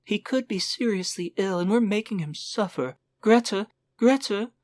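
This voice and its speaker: A man's voice: high-pitched, normal speed, pitched above the performer's own voice, lightly acted. A man voicing a distraught, tearful mother in an audiobook, urgent and crying out. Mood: panicked. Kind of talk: audiobook character voice.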